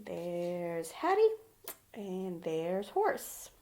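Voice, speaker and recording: A woman's voice: lowish voice, very animated, very slow, dark tone, a little hiss or hum. A woman teacher reading a children's picture book aloud and talking it through simply, often putting questions to the young listener. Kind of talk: children's storybook read-aloud and lesson.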